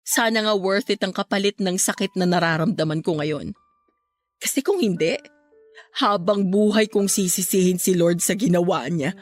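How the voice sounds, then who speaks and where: A medium-pitched young female voice , performed by an actress plays a bereaved woman full of heartache. Her delivery is plaintive and emotional, styled as Filipino radio drama, over faint music. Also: normal speed, close to an everyday voice. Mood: anguished, bitter.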